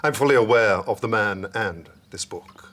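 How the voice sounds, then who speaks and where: A man's voice: fairly low pitch, normal speed, fairly bright tone, a little hiss or hum, lightly acted. An actor playing a stern, indignant churchman who speaks in a grave, righteous and formal manner in a period drama. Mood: accusing. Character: stern, indignant churchman condemning a scandalous book.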